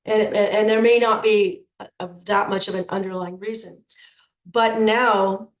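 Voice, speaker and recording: A woman's voice: mid-range voice, fairly even intonation, measured pace, reverberant, rough recording. Stuffy-voiced middle-aged woman coach. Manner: chatty, repeats words when restarting. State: stuffed up with a cold. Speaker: nutrition coach. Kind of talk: informal coaching talk to program members.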